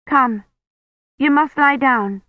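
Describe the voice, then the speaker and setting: A woman's voice: on the high side, animated, a little slow, dry recording, narrow, tinny audio, voice pushed up. Narrator playing an anxious, insistent woman, raised voice. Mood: anxious, coaxing. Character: anxious, urgent young woman caring for a priest.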